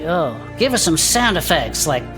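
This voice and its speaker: A woman playing a tabletop game, chatting in character in a slangy, expressive way. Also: sits low, normal speed, over music. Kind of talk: casual in-character roleplay chat.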